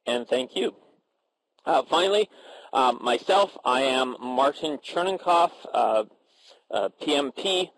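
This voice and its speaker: Halting man moderating a panel. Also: a little high, expressive, creaky, a bit bright, slight background noise, rough recording. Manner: halting, many filler sounds and restarts. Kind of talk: moderating a professional panel discussion.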